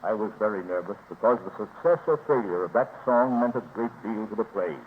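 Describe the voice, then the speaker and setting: A man acting as a middle-aged composer with a low voice, telling his memories in measured, teasingly drawn-out fashion. It is a 1920s radio drama, thin and narrow in sound. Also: animated, close to an everyday voice. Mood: suspenseful recollection. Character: famous middle-aged composer reminiscing, unhurried storyteller. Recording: scratchy, narrow-band 1920s radio transcription, noisy.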